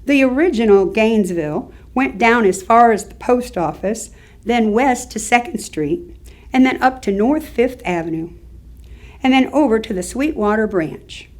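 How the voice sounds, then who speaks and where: An actress playing an elderly small-town Southern woman, giving wry, measured recollections in a staged reading. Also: a little high, animated. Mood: matter-of-fact. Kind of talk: staged reading of oral history monologue.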